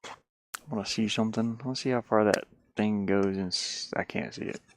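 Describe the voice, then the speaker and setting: Low gravelly man muttering through a task. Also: steady tone, normal speed, slight background noise. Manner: muttering, meandering, talking through each step to viewers. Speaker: live streamer doing a DIY build. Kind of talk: casual chat while working, thinking aloud.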